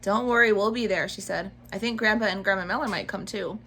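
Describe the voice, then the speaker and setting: A woman's voice: medium pitch, a little fast, pushed and bright, soft background music. A woman reading as a patient, weary young mom. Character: patient tired mother of young kids.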